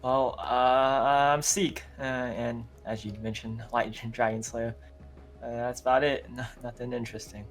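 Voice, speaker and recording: Guy as nervous modest dragon slayer, flat delivery, hesitant, medium pitch, fantasy roleplay. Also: measured pace, soft background music, lightly acted. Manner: hesitant, understated.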